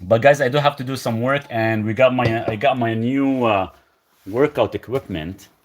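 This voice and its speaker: A man's voice: mid-range voice, moderate pace. Easygoing man from Lebanon on a livestream. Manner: relaxed, rambling, reads comments aloud then answers. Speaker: live stream host answering health questions. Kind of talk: casual live chat reading viewer questions. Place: rural property, country home.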